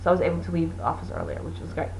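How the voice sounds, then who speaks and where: Woman host, Portuguese native, low-ish voice, easygoing rambling delivery with faint background noise. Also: very animated, racing, vocal fry, darkish tone. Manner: rambling, chatty, many fillers. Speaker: knitting podcast host. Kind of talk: casual chat.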